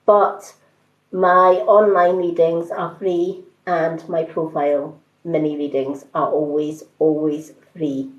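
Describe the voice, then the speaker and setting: A woman hosts a casual live stream as a card reader, speaking in a calm and soothing way. She takes her time, with a very unhurried pace. The sound is roomy and slightly dull, like modest livestream audio. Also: low voice.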